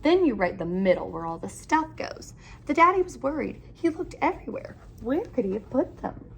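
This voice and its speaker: Female storyteller for children's read-aloud, very expressive swooping delivery, emphatic phrasing, noticeable background noise. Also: high voice, normal speed, gravelly.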